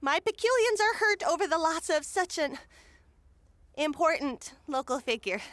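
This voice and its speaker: Woman acting as high voiced lady mayor, fake gracious n scheming, officious, comedy audio drama, dry sound. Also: sing-song, a little slow, a bit bright, no echo, full character voice. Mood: smoothly deceitful. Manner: theatrical, officious. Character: breezy, scheming female town mayor, falsely gracious.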